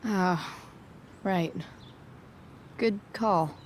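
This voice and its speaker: A woman's voice: medium pitch, drawn-out words, a little hiss or hum. A woman playing a defensive, rebellious teenage girl with dry sarcasm in a video game cutscene. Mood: guarded, casual.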